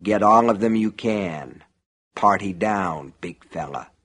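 A man's voice: expressive, slow, fairly bright tone, husky, exaggerated voice. A man voicing a devilish shopkeeper in an audiobook, a soothing tempter who is pleasant, persuasive and can turn harsh. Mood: low, menacing. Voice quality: low, soothing, turning harsh.

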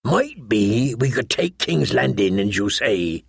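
A man voicing a grave, plain-spoken low-born smuggler in an audiobook, sober and measured. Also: sing-song, gravelly, breathy edge, no room sound, studio quality, exaggerated voice. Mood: concerned. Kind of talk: audiobook character voice.

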